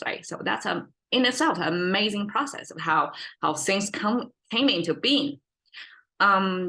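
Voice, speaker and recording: Casting: female language-lesson host, native Mandarin speaker with Chinese accent, slightly low bright voice, informal, meandering, checks in with listeners. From China. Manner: rambling, self-correcting, checks in with listeners. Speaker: language teacher, podcast host.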